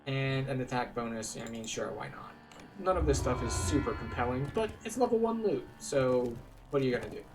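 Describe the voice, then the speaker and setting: A man's voice: mid-range voice, lively intonation, brisk, over music. A man giving casual, explanatory commentary on a game walkthrough. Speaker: game streamer.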